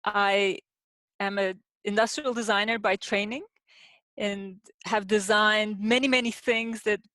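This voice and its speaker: A woman speaks as a podcast guest, at an average pitch for a woman. She explains her ideas in a measured, thoughtful way, pausing to find her words. Her voice sounds dry and close, with no room echo. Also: fairly even intonation, bright, forceful tone. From Turkey. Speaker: guest, industrial designer. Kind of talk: podcast interview answers. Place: home in the city, sheltering in place.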